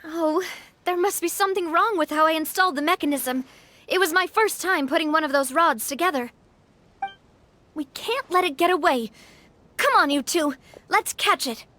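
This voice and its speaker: A woman playing a refined, warm-hearted young leader in a video game, talking in a gentle, earnest, thoughtful way. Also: extremely high pitch, moderate pace. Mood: excited. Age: young adult. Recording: background music.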